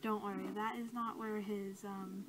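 A young woman doing soft-spoken ASMR roleplay as a scientist, talking in a casual, rambling, hesitant way. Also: mid-range voice, little pitch movement, normal speed. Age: young adult. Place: small cluttered room indoors. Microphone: camera mic at about arm's length. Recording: clearly noisy amateur camera audio.

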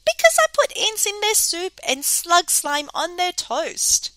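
A woman's voice: very high voice, very animated, measured pace, pushed and bright, dry recording, pitched above the performer's own voice, full character voice. A woman voicing a small, scrawny, cheeky boy in a children's story, matter-of-fact in manner.

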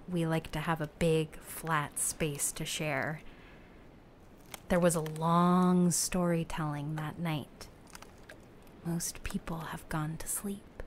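Audio play: a troubled ocean nomad woman, slow and halting, slightly low voice, faint ambience. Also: slight background noise. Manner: halting, often breathy and hushed. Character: reflective, anguished woman raft person of an ocean world. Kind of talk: audio drama acting.

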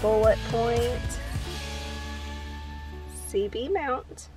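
Higher-voiced American woman, unhurried vlogger. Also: a little mellow, soft background music. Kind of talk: casual how-to vlog narration. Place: inside an open-top parked vehicle.

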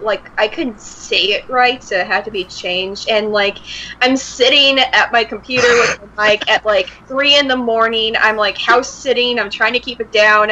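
A woman's voice: on the high side, bright, forceful tone. A woman chatting casually as a guest on a podcast, over a video call. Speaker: podcast guest, amateur voice actor. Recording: dull, faintly noisy call audio.

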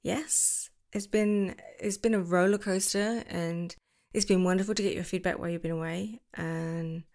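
A woman performing an affectionate ASMR girlfriend roleplay, gushing and squealing by turns, then dropping into soft whispers. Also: on the low side, steady tone, moderate pace, gravelly.

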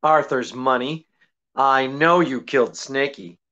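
A middle-aged actor playing a suave, teasing detective with a wry, unhurried delivery in old-time radio comedy style. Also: male voice, medium pitch, bright, forceful tone. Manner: unhurried, wry, hesitating for effect. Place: indoors, small draped room. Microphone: video call. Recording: muffled video-call audio.

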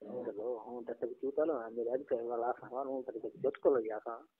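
A man's voice: medium pitch, steady tone, normal speed, breathy edge. A man with a Saraiki accent chatting casually and intimately as a caller on a recorded phone call. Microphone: telephone line.

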